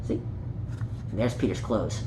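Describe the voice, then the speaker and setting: A person reading a children's story aloud in an animated, playful way, with small asides and stumbles over words. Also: mid-range voice, unhurried. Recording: echoey room with light background noise.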